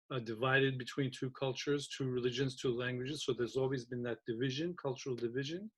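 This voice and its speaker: A man raised in Turkey, hosting a casual conversation among writing teachers, speaking thoughtfully and stopping often to restart. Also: mid-range voice. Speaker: host of faculty round table.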